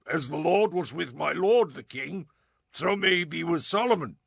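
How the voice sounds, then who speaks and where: An actor playing a fervent, loyal royal official in a dramatized scripture reading, gravelly, husky and declamatory. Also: male voice, fairly high pitch, expressive, normal speed, a bit bright, dry recording, clean studio sound, full character voice. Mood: fervent, solemn.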